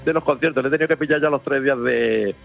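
Man, festival organizer, eager and rambling, muffled radio sound with soft music under it. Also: medium pitch, fast talker, creaky. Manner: enthusiastic, rambling with many restarts. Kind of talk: casual radio interview.

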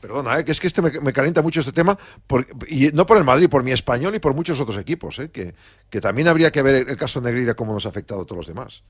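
Man, radio presenter, fast, indignant, husky, dry close mic. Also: mid-range voice, expressive, no room sound. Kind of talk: heated radio opinion.

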